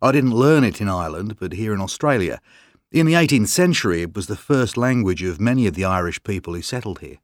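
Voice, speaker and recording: Male actor as calm articulate writer guest, expressive delivery, dry studio read. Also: lowish voice, quick pace, no room sound, close to an everyday voice. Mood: earnest. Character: man, calm thoughtful writer and broadcaster. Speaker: interview guest. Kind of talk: scripted exam interview.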